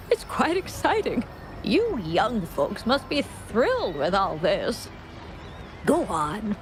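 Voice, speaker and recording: An actress voicing a kindly, frail elderly mother in a video game, with a gentle, hesitant, unhurried manner. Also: fairly high pitch. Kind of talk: scripted video game voice acting. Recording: music playing behind the voice. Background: game music.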